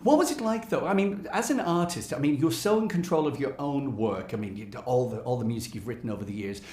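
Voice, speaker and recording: A man's voice: medium pitch, animated, quick pace, a little mellow. A British man hosting a conversational radio interview, effusive and rambling in his questions. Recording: roomy, echoing interview space.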